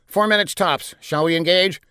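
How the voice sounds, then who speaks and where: A man's voice: high voice, moderate pace, bright, forceful tone, clear, resonant tone, no echo, clean studio sound, voice pushed up. A man voicing an eager fighter squadron captain who gives urgent, clipped military reports in a science fiction audiobook.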